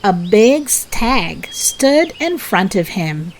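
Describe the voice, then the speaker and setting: A woman narrating a children's read-aloud story in a deliberate, expressive storytelling manner for young listeners. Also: medium pitch, a little hiss or hum.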